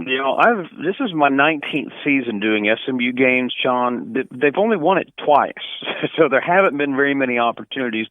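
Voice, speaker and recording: Measured man on a dull phone line. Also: medium pitch, dry recording. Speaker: radio play-by-play announcer, interview guest. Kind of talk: sports interview over the phone. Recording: band-limited phone line.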